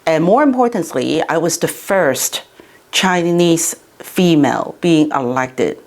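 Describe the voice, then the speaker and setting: A woman's voice: sits low, animated, slow pace, a little mellow. A middle-aged woman from Hong Kong, a city councillor, answering interview questions in a chatty way with many fillers and restarts.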